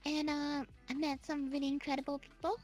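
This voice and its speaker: A very high, bright voice with a Singaporean English accent, bubbling over with excitement and little giggles. The speaker rambles happily through stories in a casual online interview, with faint music playing underneath. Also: a little fast. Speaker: guest streamer.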